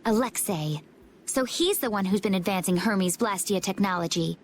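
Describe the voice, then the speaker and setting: Woman doing calm composed warrior lady, knowing and resolute, mid pitch, game dub. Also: normal speed, slight background noise, close to an everyday voice. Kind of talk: English game dub acting.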